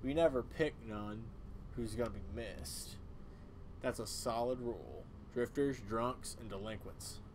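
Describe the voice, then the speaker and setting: Man doing a slow, grim old cult elder. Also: mid-range voice, noisy background, natural voice. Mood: cold justifying.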